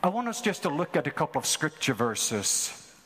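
A Norwegian man in his fifties preaches with a high voice, throwing out repeated call-and-response phrases to the crowd. His sermon rings out through a large hall with a long, lingering reverb. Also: very animated, moderate pace, fairly bright tone. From Norway. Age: 50s. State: has a mild ear infection.

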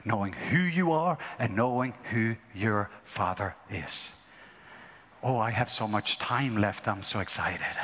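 A Norwegian man in his fifties preaching a sermon with excitable, repetitive call-and-response phrasing. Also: fairly low pitch, a little slow, in a large hall. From Norway. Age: 50s. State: has a mild ear infection.

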